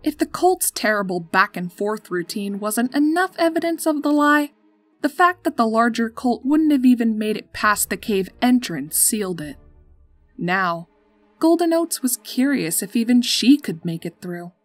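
A woman's voice: on the high side, expressive, normal speed, dry recording. A woman narrating a scripted story as its storyteller. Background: music.

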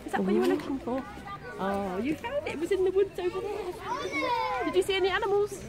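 A woman's voice: very high-pitched, very animated, brisk, crowd noise. A woman working as an elf helper outdoors, putting simple friendly questions to a young child. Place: outdoors in woodland.

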